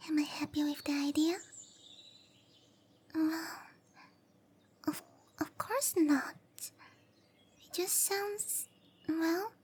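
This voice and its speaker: A woman voicing a tiny, shy young cowgirl monster girl in an anime-style roleplay ASMR, hesitant and apologetic, with soft, breathy delivery. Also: high-pitched, slight background noise. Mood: frustrated, downcast.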